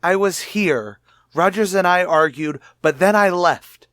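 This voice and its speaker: A man's voice: high-pitched, unhurried, fairly bright tone, dry recording, clean studio sound. A man playing a cornered, defensive murder suspect protesting his innocence in a radio mystery drama. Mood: agitated, defensive.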